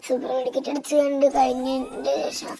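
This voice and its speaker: A gamer with a northern Kerala Malabar accent gives casual, halting commentary, recounting gameplay moves step by step. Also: sits high, huge pitch swings, very noisy. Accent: northern Kerala Malabar Malayalam.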